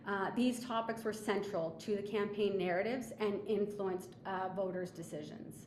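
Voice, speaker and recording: Woman, mid-pitched voice, measured analytical presentation, hesitant phrasing, echoey room. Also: fairly even intonation. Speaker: webinar presenter, political analyst. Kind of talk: slide presentation of polling analysis. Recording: echoey room with faint background noise.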